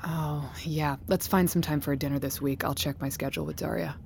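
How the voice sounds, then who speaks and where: A controlled company chief in an audio drama. Her voice is low, with curt, businesslike delivery over clear background noise. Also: steady tone, fairly quick, darkish tone. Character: controlled, steely female tech company chief executive. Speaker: company boss. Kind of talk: naturalistic audio drama acting.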